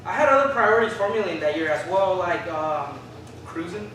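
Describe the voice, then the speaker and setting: A man's voice: high-pitched, lively intonation, brisk. A man reading the part of a spirited young Chicano activist, telling his story in slangy, theatrical monologue with Spanish words. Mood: casual, wry. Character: Philip, spirited Chicano youth recalling 1970 activism. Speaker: stage reader in a documentary play. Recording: echoey room from far off, noisy.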